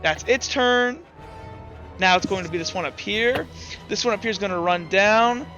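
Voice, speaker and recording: High, bright-voiced young man running a game. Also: normal speed. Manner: loose, rambling narration with frequent fillers. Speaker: game master. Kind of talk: narrating a tabletop game. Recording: slightly muffled, dull audio. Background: faint background music.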